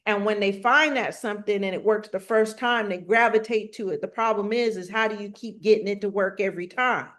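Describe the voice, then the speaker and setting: A middle-aged woman speaking with a strong, forceful voice, teaching about spirituality and astrology in a passionate conversational way with many rhetorical questions. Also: medium pitch, brisk, hardly any pauses. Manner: rhetorical questions and repeated phrases.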